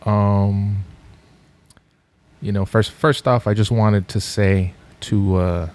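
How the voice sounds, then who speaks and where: A middle-aged man from Los Angeles, a podcast guest telling his story in a relaxed, rambling way with lots of fillers. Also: lowish voice, slow. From Los Angeles, USA. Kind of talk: casual podcast interview.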